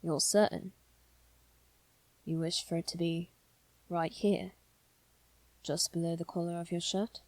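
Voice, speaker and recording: A woman voicing a gentle, formal male demon who is devoted and possessive, speaking softly and deliberately in a scripted ASMR roleplay. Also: fairly low pitch, fairly even intonation, dry recording, lightly acted. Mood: gentle, explaining. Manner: soft, measured, deliberate, sometimes near whisper.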